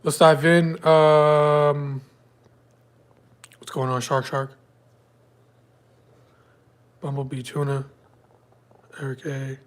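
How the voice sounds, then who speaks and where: A man's voice: on the high side, level tone, drawn-out words, long pauses. A man with a stuffy nose from a cold, hosting a live trading stream in a loose, filler-heavy way and greeting viewers by name. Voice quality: slightly congested. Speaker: day trading livestream host. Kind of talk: casual live market prep walkthrough.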